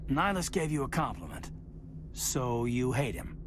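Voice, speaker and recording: Game crew officer, male actor: animated intonation, sensible, dry teasing, faint ship noise. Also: medium pitch, normal speed, close to an everyday voice. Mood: dry, reasonable. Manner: reasonable, dryly teasing. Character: level-headed, gently teasing male starship crew officer. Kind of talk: video game cutscene acting.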